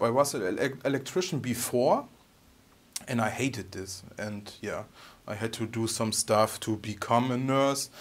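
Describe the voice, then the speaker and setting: Soft-spoken man with a heavy German accent. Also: medium pitch. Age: 30s. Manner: soft-spoken, halting, tags sentences with "okay?". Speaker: guitar channel host. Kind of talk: casual personal Q&A.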